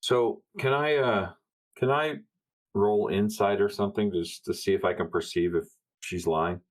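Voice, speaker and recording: Male roleplay gamer, deep low voice, conversational in-character delivery. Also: moderate pace. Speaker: player.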